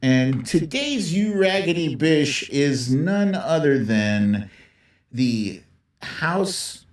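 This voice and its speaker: A man's voice: fairly high pitch, lively intonation, drawn-out words. A man hosting a live political stream in a casual, slangy, mocking and profane way. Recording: roomy echo, distant from the mic.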